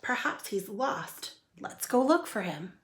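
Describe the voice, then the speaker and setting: Storybook elephant leader, female reader: male, patient, kindly teasing, gently in charge, slow delivery. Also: medium pitch, sing-song, a little hiss or hum. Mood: patient, explaining.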